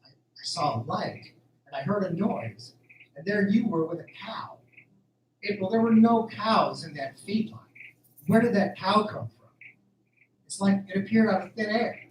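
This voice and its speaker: Radio drama: actor as earnest young male newcomer, high voice, hesitant, questioning, in a roomy space. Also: expressive, moderate pace. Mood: alarmed, questioning. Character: curious, earnest young newcomer man on a farm. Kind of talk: amateur radio drama dialogue. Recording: roomy, distant mic, faint noise.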